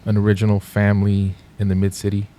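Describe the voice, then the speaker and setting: A man's voice: on the low side, quick pace. Laid-back man rambling with fillers. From Los Angeles, USA. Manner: relaxed, rambling, many fillers. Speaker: guest. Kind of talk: casual podcast interview.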